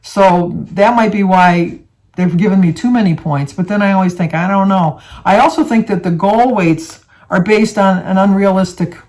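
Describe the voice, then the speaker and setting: A woman's voice: mid-range voice, moderate pace, a little mellow. A woman in her seventies from the United States, talking casually and rambling to viewers, with many fillers and restarts. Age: 70s. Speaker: vlogger. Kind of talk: casual talk to camera.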